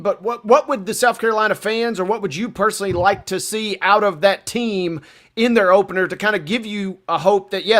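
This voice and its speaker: Male sports co-host, high-pitched, casual, wordy and roundabout when putting questions to a guest. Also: fairly bright tone. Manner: wordy, meandering questions with many asides. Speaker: sports show co-host interviewer.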